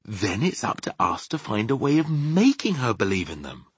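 Man playing a feisty high-pitched young girl, very animated. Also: moderate pace, husky, no echo, full character voice. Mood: insistent. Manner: emphatic, insistent. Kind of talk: children's storybook character reading.

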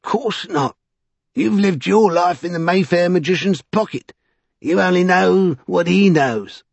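A man's voice: fairly high pitch, animated, slow, gravelly, breathy edge, dry recording, voice pushed up, full character voice. A man voicing a mocking, exasperated, cryptic street prophet in an audiobook. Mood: scornful, gleeful. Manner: scoffing, goading.

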